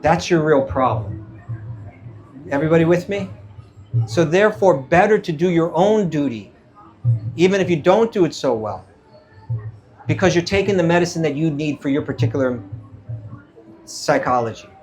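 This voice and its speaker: A man's voice: fairly high pitch, lively intonation, moderate pace, long pauses, darkish tone, soft background music. A man giving an informal scripture talk to a live audience as a spiritual teacher, conversational, checking in with listeners and acting out quoted dialogue. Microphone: event microphone.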